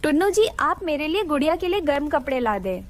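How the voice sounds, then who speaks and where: A woman playing a gentle, practical mother bird in a cartoon story, polite and earnest. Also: high-pitched, very animated, fairly quick. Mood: concerned.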